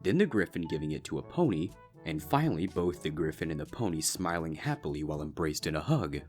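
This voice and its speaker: A man's voice: a bass voice, big pitch swings, quick pace, a little mellow, faint music behind. A man reading a scripted fan fiction story aloud as its narrator.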